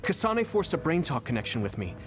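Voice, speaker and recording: A man voicing an earnest young soldier hero in an anime-style game cutscene, quick to worry. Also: a little high, very animated. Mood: earnest, puzzled. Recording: music behind the voice.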